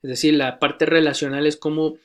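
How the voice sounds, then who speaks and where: Male lecturer, slightly high voice, deliberate classroom delivery with hesitant fillers. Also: level tone, fairly bright tone. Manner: measured, repeats key phrases, many hesitations. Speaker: teacher of church leaders. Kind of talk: recorded lecture.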